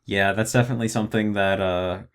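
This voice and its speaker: A man hosting a casual interview, talking in a relaxed, thoughtful way with some pauses and restarts. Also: fairly low pitch, smooth. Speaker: host, interviewer.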